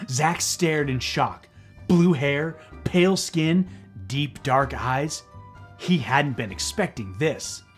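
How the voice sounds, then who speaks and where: A man's voice: a little high, slow, soft background music. A man reading a children's book aloud as theatrical narration, dramatic and playful.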